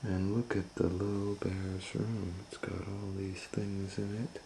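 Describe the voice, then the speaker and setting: A man's voice: sits low, flat delivery, a little slow, gravelly, warm tone, slight background noise. A man reading a children's story aloud as an educator, asking rhetorical questions and explaining the pictures to young listeners. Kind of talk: animated children's storytime narration.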